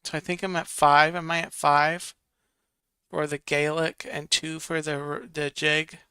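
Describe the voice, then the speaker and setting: A man's voice: a little high, little pitch movement, unhurried, bright, forceful tone, clean tone, no room sound, clean studio sound. A man hosting a casual live stream, reading viewer comments and counting votes aloud while muttering to himself.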